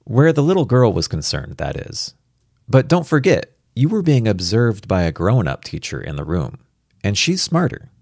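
A man's voice: on the low side, wildly swooping pitch, moderate pace, a little mellow, no room sound, studio quality, pitched above the performer's own voice, exaggerated voice. A man reading the part of an anxious, protective father in a story, speaking in an earnest, cautioning way. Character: anxious, protective father of a gifted little girl.

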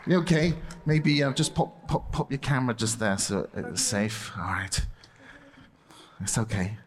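A man's voice: lowish voice, fairly even intonation, unhurried. A man presenting a playful object lesson to children in church, laughing often and calling out to the room. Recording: echoey hall.

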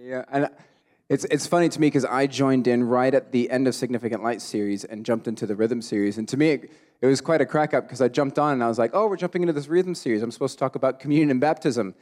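A man conducting a relaxed interview, firing off questions at a fast clip. His voice sits at an ordinary pitch, and his manner stays upbeat and conversational. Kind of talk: casual interview.

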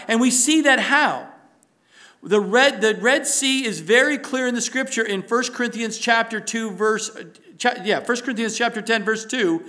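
A man preaching a sermon, building to loud emphatic stretches and dropping to a soft prayerful tone. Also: very high-pitched.

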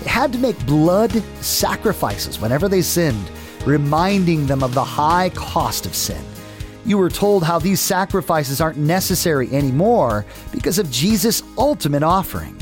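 A man's voice: fairly high pitch, sing-song, moderate pace. A male radio announcer reading a scripted summary of the day's program and a preview of the next one. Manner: smooth announcer delivery. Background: soft music bed.

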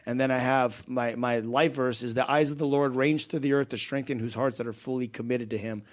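A man's voice: mid-range voice, steady tone, fairly quick. Casual, encouraging man on faith radio. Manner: casual, encouraging, reads verses aloud. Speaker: radio show co-host.

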